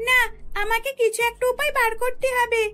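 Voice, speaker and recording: A woman's voice: very high-pitched, steady tone, fairly quick, pushed and bright, voice pushed up. A woman voicing a scheming young twin sister in a cartoon, playful and wheedling in manner.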